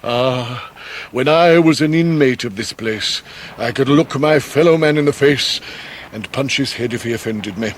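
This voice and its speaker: A pompous Victorian English debtor played by a male actor, mid-pitched and grandly theatrical. He rolls out ornate, long-winded phrases with downcast dignity, as in a period radio drama. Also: measured pace, slight background noise, close to an everyday voice. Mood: gloomy, indignant.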